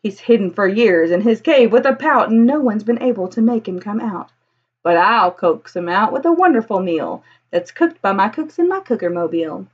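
A woman's voice: mid-range voice, expressive, moderate pace, breathy edge, muffled recording. A woman in her thirties reading a children's picture book aloud expressively, with a singsong, rhythmic delivery. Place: small indoor room with bookshelves.

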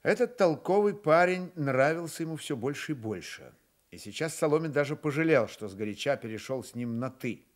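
A man's voice: a little high, animated, normal speed. A man reading scripted literary narration for a radio drama.

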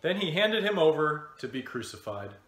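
Higher-voiced man reading scripture, roomy sound. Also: big pitch swings, moderate pace, a bit bright. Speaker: church member reading scripture. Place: indoor room with bookshelves. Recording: roomy indoor echo.

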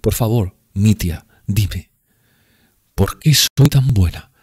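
A man voicing a drunk, impassioned young woman in an audiobook, speaking in a raised, breathy, pleading tone. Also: lowish voice, slow, darkish tone, pitched above the performer's own voice, full character voice. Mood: tipsy, pleading. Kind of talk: audiobook character reading.

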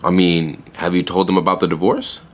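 A male actor as a young store clerk in an audio drama, with a low voice. He is skeptical and blunt, delivering everything in a dry, deadpan, sardonic way. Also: a little fast, natural voice. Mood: probing, blunt. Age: young adult. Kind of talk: naturalistic audio play acting.

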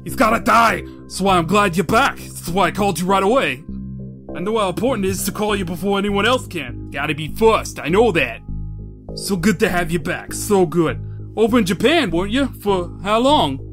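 A man's voice: sits high, expressive, normal speed, music in the background. A man playing an anxious, needy crime boss who repeats himself jitterily in a comic-book audio drama. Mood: eager, paranoid. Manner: jittery, repetitive.

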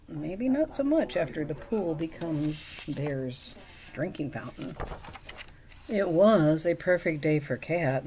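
A middle-aged woman reading a picture book aloud as a storytime reader, with chatty asides and expressive, drawn-out emphasis. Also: low voice. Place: small room at home.

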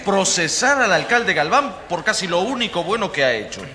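A man's voice: high voice, very animated, moderate pace, pushed and bright, exaggerated voice. A man acting an opinionated, self-assured newspaper journalist in a conversational radio drama scene. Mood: assertive. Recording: reverberant hall sound.

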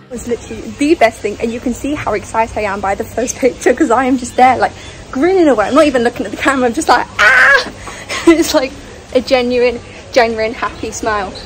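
A young woman with a fairly high voice talks into a phone held at arm's length, chatty and excitable on a travel vlog. She sounds tired from a long day, and faint music sits under her voice. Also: brisk, rough recording. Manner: chatty and excitable, lots of "like" and "literally". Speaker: travel vlogger. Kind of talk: casual travel vlog to camera. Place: hotel bathroom, then train and outdoor rainforest spots. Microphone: phone camera at arm's length.

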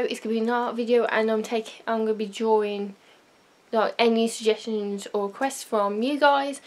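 A teenage girl rambling in a casual, self-mocking vlog, talking into a webcam in her bedroom. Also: on the high side. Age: teens. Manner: rambling, self-mocking, loud sudden outbursts. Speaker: vlogger. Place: small bedroom, indoors. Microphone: webcam at desk.

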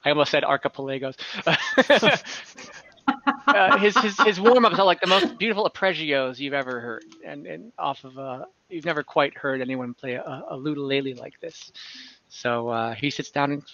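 A man narrating an online tabletop game as game master, casual, halting and lightly amused, improvising as he goes. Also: fairly high pitch, bright, forceful tone, no room sound. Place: at home indoors on a group video call. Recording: slightly dull video-call audio.

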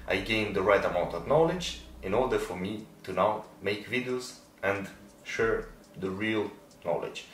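A man in his twenties with a Moldovan accent, a native Romanian and Russian speaker, talking casually and emphatically to camera as a fitness creator, repeating words for stress. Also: on the low side. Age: 20s. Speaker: fitness content creator and athlete. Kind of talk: casual explanatory talk to camera. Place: indoor room, decorated for Christmas. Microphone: small lapel microphone. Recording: roomy echo, distant mic, faint noise.

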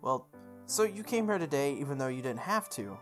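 Woman reading as a blunt young female shopkeeper, low voice, laid-back, teasing, visual novel dialogue, music behind. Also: big pitch swings, brisk. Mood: blunt, caring.

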